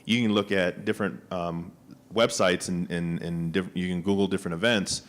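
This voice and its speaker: Guy with a really low voice talking on a business panel, lots of ums and restarts. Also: fairly even intonation, normal speed. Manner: conversational, with many fillers and restarts. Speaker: small business agency official on a panel. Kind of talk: panel discussion remarks. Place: conference room panel.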